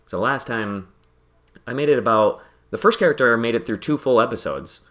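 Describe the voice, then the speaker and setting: A man's voice: medium pitch, normal speed, fairly bright tone. A man hosting a podcast, chatting casually while playing a game, rambling and self-deprecating and laughing often. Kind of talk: casual chat while gaming.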